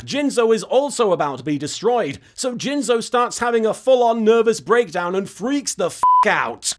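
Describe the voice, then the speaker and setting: A man narrating a scripted comedic recap in a sarcastic, mock-serious, sweary way. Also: high-pitched. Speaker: host of an anime recap series.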